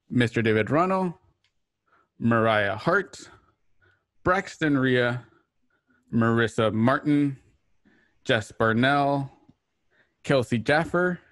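Male show host for a livestreamed drama reading. Mid-range pitch, dry home-studio sound. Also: fairly bright tone, no echo. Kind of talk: hosting a livestreamed radio play reading. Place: home, on a group video call.

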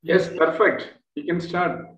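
A man's voice: fairly high pitch, fairly even intonation, slow, a bit bright, roomy sound, muffled recording. A man moderating an online session, giving brief, reassuring replies to the speaker. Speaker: event moderator.

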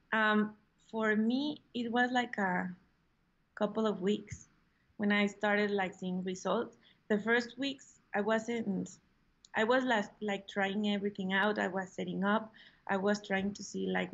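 A young woman from Mexico with a Spanish accent, answering interview questions over a video call in a halting way with many fillers. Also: mid-range voice, vocal fry, highs cut off. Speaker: guest. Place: room at home.

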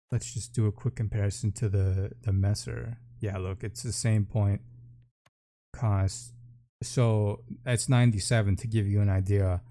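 A man's voice: lowish voice, mellow. A man giving casual, unscripted game commentary, thinking aloud in short off-the-cuff remarks. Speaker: gaming video host.

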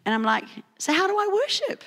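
A woman's voice: extremely high pitch, a little fast. New Zealand woman preaching a story-driven sermon. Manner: storytelling, animated asides with laughs. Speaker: pastor. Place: church service.

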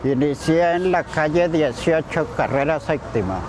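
An older man, a shoe shiner, slowly telling an interviewer about his working life, recorded outdoors on a campus. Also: on the high side, darkish tone, a little hiss or hum, poor audio. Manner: slow, plain storytelling about his past. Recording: music under the voice.

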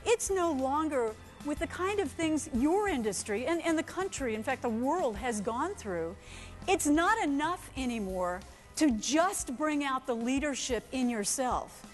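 A woman in her forties giving an energetic, emphatic keynote talk on a conference stage into a headset mic. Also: high-pitched, measured pace, faint music behind. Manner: energetic, emphatic, gestures a lot. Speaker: keynote speaker.

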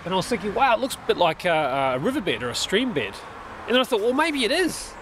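A man's voice: high voice, sing-song, quick pace, a bit bright, slight background noise. A man in his thirties with an Australian accent, thinking aloud as he explains a tree in his backyard, often restarting his sentences. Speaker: permaculture designer. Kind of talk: casual explanatory vlog. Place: outdoors in a backyard under a large tree. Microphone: handheld camera at arm's length.

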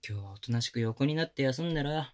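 A man playing a young boyfriend who coaxes and pampers his partner, talking in rough casual slang softened with gentle whispers, recorded close on a binaural mic. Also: medium pitch, animated, fast, natural voice. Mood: tender, teasing. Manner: soft close whispers at times. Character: young male boyfriend, rough-spoken but doting caretaker. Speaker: voice actor. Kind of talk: Japanese girlfriend-experience roleplay audio drama.

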